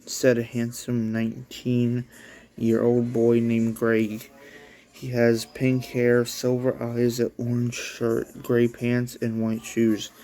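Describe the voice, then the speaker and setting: A man's voice: mid-range voice, warm tone, poor audio. A man casually reading his own story aloud as a channel host, in an offhand storytelling manner. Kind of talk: casual read-along narration.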